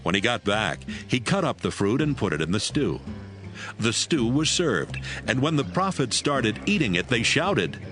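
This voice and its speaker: A man's voice: mid-range voice, big pitch swings, moderate pace, fairly bright tone, soft background music. A man narrating a dramatized Bible reading as a scripted storyteller.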